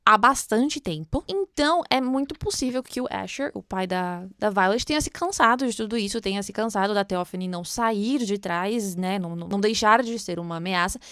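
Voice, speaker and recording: A Brazilian woman giving casual, expressive book commentary, explaining things conversationally with rhetorical questions. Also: medium pitch, brisk, few pauses, clean tone, no echo, clean studio sound. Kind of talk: casual read-along commentary with fan theories.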